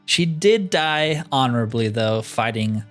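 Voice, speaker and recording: A man's voice: medium pitch, lively intonation, unhurried, faint music behind. A man giving casual game commentary, thinking aloud in an easygoing way. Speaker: gamer narrating own roleplay playthrough.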